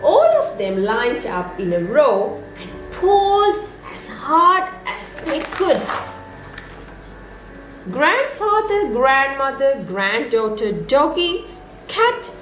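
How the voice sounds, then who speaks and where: Woman, nursery storyteller, slow pace, expressive sing-song delivery, echoey room. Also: sits high. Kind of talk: children's story read-aloud. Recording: roomy echo, music underneath.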